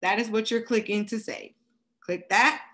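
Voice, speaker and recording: A woman with a slightly raspy voice, casually talking through a tutorial step by step and often checking in with "okay?". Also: mid-range voice, fairly even intonation, moderate pace, fairly bright tone. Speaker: travel agent teaching video making. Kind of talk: screen-recorded tutorial walkthrough.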